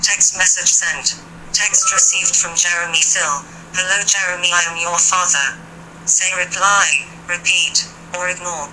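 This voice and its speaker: A woman's voice: on the low side, steady tone, measured pace. A robotic, synthesized woman's voice reading formulaic text-to-speech prompts from a phone speaker. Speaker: phone voice assistant. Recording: phone speaker in noisy room recording.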